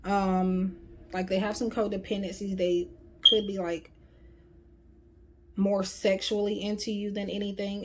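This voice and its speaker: A woman giving a casual, rambling tarot reading to her viewers, her voice going a bit hoarse. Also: medium pitch. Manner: chatty, rambling, frequent fillers. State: voice getting hoarse. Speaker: tarot reader.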